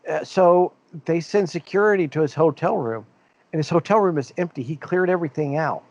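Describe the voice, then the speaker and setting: A man's voice: on the high side, fairly quick, a little mellow, no echo. Rambling middle-aged man, muffled sound. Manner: rambling storyteller, laughs often. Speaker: podcast co-host. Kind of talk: casual chat.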